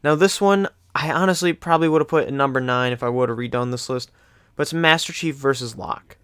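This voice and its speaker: A man's voice: mid-range voice, fairly quick, fairly bright tone, clean tone, soft background music. A young adult man hosting a video game countdown, talking in an informal, rambling, conversational way. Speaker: video game list host. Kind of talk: casual countdown commentary.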